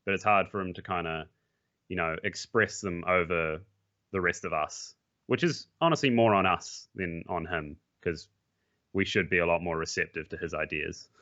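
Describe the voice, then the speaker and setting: A man's voice: low voice, moderate pace, pushed and bright. A man, a pro esports player, talking casually and bluntly as a podcast guest, with frequent restarts and the odd swear. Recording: muffled lo-fi mic.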